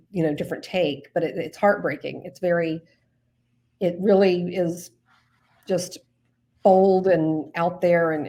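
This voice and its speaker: A woman's voice: lowish voice, a little mellow. A woman novelist chatting casually as an interview guest, informal and self-effacing, feeling her way through her sentences.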